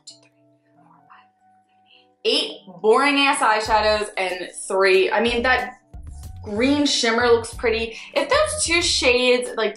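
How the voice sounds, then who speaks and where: A young woman from Northeast Philadelphia with a Philadelphia accent, a makeup artist giving blunt, sarcastic, swear-laced opinions in a casual vlog. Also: fairly high pitch. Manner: blunt, sarcastic, swears freely, animated swings. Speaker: beauty vlogger, freelance makeup artist. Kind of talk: candid casual ranting vlog. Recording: echoey room with faint music.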